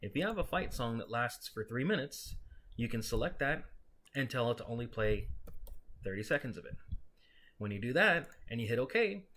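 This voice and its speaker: Man giving a casual software walkthrough. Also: mid-range voice, expressive, normal speed, a little hiss or hum. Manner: explanatory, conversational, instructional. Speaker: software tutorial presenter.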